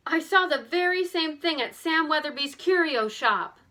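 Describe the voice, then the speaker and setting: An excitable teenage girl sleuth from a mystery storybook, acted out by a woman reader. Her voice is bright and lifts above an everyday talking pitch. She speaks urgently and with quick-witted eagerness. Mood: excited discovery. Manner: urgent, exclaiming. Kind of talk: animated children's mystery storybook reading.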